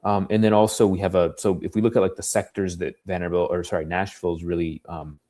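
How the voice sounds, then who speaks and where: American guy with a low voice doing a webinar talk, conversational, says you know a lot. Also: little pitch movement, quick pace, warm tone. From United States. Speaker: university dean giving a presentation. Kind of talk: informal webinar presentation.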